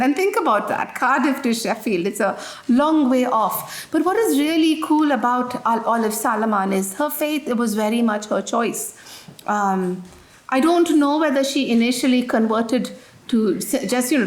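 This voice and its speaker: A woman with a fairly high voice gives a public lecture in a hall. She talks in a loose, conversational way, restarting phrases as she goes. The room rings with noticeable echo. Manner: conversational, with frequent "you know" and restarts.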